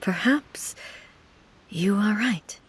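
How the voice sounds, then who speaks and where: An actress playing a composed, considerate young noblewoman in a video game, polite and measured. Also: mid-range voice, a little hiss or hum. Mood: gentle, reassuring.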